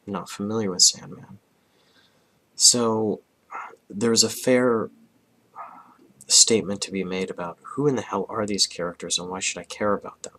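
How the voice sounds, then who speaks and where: Hoarse man in his thirties, sick with a cold, low and bright-edged voice, rambling comic review. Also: low-quality recording. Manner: rambling, frequent restarts and throat clearing. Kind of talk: casual review.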